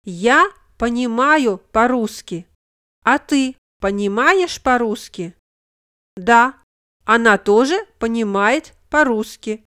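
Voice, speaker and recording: A woman narrating a scripted language lesson, speaking model phrases slowly and with clear enunciation. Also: a little high, animated, fairly bright tone.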